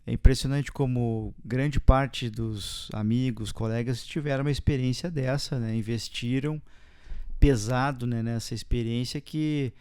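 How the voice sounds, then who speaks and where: Smooth-voiced Brazilian podcast host. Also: male voice, mid-range voice, fairly even intonation, measured pace, a little mellow. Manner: friendly, conversational, shares own stories. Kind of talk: casual interview chat.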